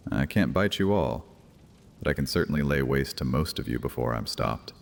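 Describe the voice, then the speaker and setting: Very deep, menacing vampire priest. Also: male voice, animated, creaky, full character voice. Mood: threatening, taunting. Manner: taunting, theatrical, deliberate. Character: menacing, mocking vampire high priest, male. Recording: long hall reverb, music underneath.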